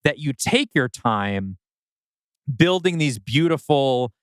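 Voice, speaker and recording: Man, podcast co-host, smooth clear voice, dry close sound, casual story critique. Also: medium pitch, animated, slow pace, no echo.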